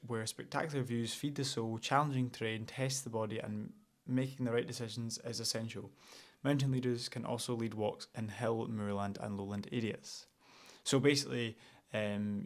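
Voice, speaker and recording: A young man with a Scottish accent, chatting casually in a vlog as an outdoor instructor, relaxed and full of ums and restarts. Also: mid-range voice. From Scotland.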